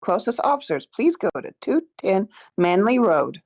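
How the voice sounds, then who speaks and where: A woman playing a brisk emergency dispatcher in a screenplay table read. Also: medium pitch, sing-song, a little mellow. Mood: brisk, official. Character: brisk emergency dispatcher on phone and police radio.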